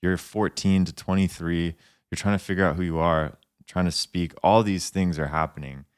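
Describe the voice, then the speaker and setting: A man's voice: low voice, fairly even intonation, normal speed, smooth. A man hosting a podcast interview, casually summing up and reflecting back his guest's story. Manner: empathetic, sums up the guest's story. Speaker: podcast host.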